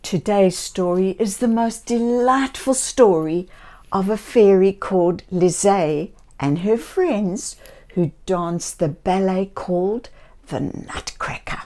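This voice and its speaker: Slow, gentle woman reading to children. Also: medium pitch. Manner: gentle, theatrical, talking directly to young listeners. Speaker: children's story reader and host. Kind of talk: expressive children's read-aloud storytelling.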